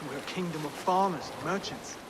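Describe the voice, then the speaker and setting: A man's voice: on the high side, brisk, lightly acted. An actor voicing an earnest, stubborn Saxon would-be king in a video game, dogged in manner. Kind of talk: dramatic video game acting. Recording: clearly noisy game background ambience.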